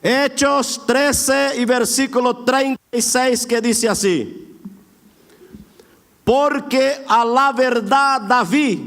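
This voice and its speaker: A man preaches in a strikingly high voice that rings through a reverberant hall. His pace is slow, with words stretched out for emphatic exhortation. His Argentine border Spanish carries a clear Brazilian accent. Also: a bit bright. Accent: Brazilian-influenced border Argentine Spanish. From border area between Argentina and Brazil. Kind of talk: Pentecostal sermon. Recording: echoey hall with long reverb.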